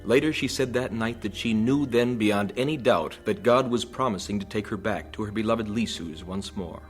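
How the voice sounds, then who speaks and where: A male narrator delivers scripted radio drama narration and announcements. His voice is on the low side, with faint music playing behind him. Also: normal speed.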